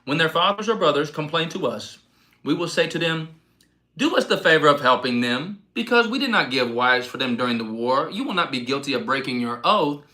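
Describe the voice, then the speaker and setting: Male scripture reader, slightly higher-pitched with a bright, forceful tone and a declamatory, expressive delivery. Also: brisk, poor audio. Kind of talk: expressive scripture reading aloud.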